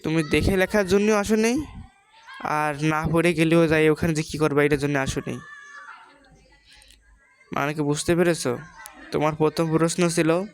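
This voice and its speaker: A woman's voice: deep voice, fairly even intonation, normal speed, long pauses. A woman with a Bangladeshi accent giving informal moral advice in a didactic, questioning way, repeating her points.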